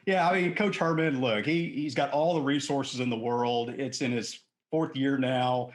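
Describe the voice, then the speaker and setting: A man in his thirties with a medium-pitched voice. He speaks as a podcast host, in casual interview chat. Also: steady tone, moderate pace, echoey room.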